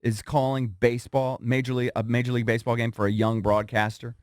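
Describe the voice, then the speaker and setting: A man's voice: mid-range voice, little pitch movement, fast, darkish tone, dry recording, clean studio sound. An American man hosting a casual sports radio interview with easy banter.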